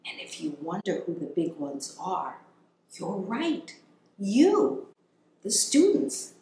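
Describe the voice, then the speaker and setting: An older woman, around her seventies, a children's author reading aloud with deliberate, expressive storybook phrasing. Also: on the low side, a little hiss or hum. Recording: echoey room, music underneath.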